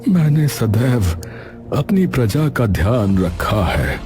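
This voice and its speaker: A man playing a sorrowful, dignified old king who has lost his throne, speaking in a slow, halting, weary way in a cartoon story. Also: mid-range voice, big pitch swings, over music. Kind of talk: Hindi moral-story cartoon dubbing.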